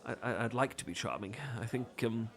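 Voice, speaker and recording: A man's voice: mid-range voice, a little fast, vocal fry, a bit bright. A man with a British accent playing a flustered, bashful, adorkable romantic who stammers and rambles apologetically in an intimate ASMR roleplay. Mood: shy, self-deprecating. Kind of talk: intimate ASMR roleplay acting, addressed to listener.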